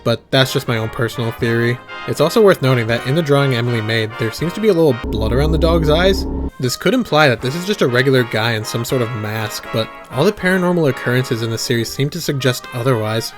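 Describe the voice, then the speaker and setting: A man's voice: medium pitch, lively intonation, fast talker. A man giving casual, wry, sweary commentary and reactions on a horror series. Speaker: video essayist and reactor. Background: background music.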